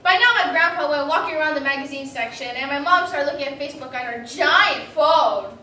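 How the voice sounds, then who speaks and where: Very high-voiced young woman, animated storytelling. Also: brisk. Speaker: reader's theater performer. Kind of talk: staged personal comic monologue. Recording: echoey room, distant mic, faint noise.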